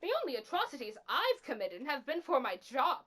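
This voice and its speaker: A woman playing a bitter, remorseful revived killer in a dramatic monologue, speaking in a deliberate, accusing way. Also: high-pitched, sing-song, pushed and bright, exaggerated voice.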